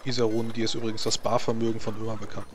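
Male player, rapid casual delivery, mid pitch, busy background voices. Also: little pitch movement, a crowd in the background. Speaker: tabletop role-playing player. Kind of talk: casual in-character chat.

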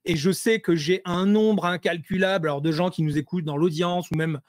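A man speaking as an interview guest over a video call, hesitant and full of fillers and restarts, growing animated when he presses a point. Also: sits high, fairly quick, dry recording, studio quality. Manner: animated, rising intensity when making points. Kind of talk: conversational interview analysis.

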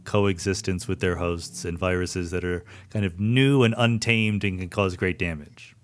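A man's voice: deep voice, steady tone, moderate pace, a little hiss or hum. A man hosting a podcast interview, asking curious, engaged follow-up questions in a conversational way. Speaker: podcast host.

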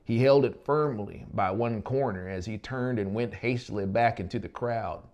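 A man's voice: on the low side, normal speed, a little mellow. A man in his thirties narrating an audiobook story in a dramatic style. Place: small dark indoor studio. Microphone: lapel microphone. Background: music.

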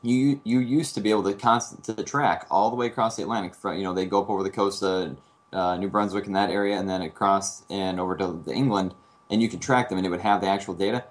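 Deep-voiced man explaining steadily. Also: fairly even intonation, quick pace. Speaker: guest, air traffic controller. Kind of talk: casual call-in chat.

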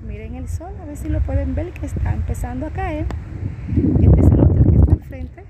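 A woman with a high voice and a Puerto Rican accent talks casually, like a live travel vlog. She repeats phrases admiringly. It is a phone recording out in the open, with very loud wind noise over her. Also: lively intonation, brisk, phone-quality sound. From Puerto Rico. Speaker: travel vlogger and local travel agent. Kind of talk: casual live walking chat. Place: open beach by the sea, outdoors. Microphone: handheld phone.

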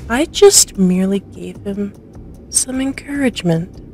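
A woman's voice: mid-range voice, lively intonation, measured pace, dark tone, soft background music. A woman playing a smug, manipulative young female student in an audio drama, drawling and condescending as she taunts.

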